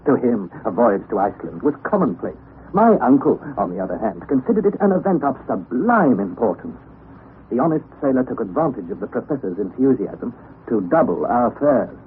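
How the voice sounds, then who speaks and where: A man narrating a 1960s radio drama in a measured, expressive storytelling manner. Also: medium pitch. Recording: phone-like narrow 1960s radio broadcast with faint hiss.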